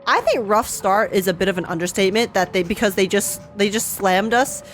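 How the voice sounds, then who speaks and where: A woman streamer giving casual live reactions to a game in an excitable, playful, exclamatory way. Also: mid-range voice, a little fast. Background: faint game music.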